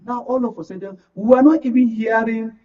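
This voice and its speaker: A high-voiced man with a northern Nigerian accent gives his views as a political guest on a talk show. He leans on key phrases by repeating them. The sound is dull and picked up from some distance. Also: brisk. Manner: emphatic, repeats phrases for stress. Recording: muffled, distant microphone.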